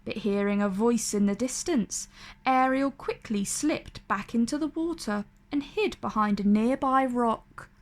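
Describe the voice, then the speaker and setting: A woman reading a storybook aloud for children in an expressive, deliberate, dramatic way. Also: a little high. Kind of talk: expressive read-aloud narration for kids.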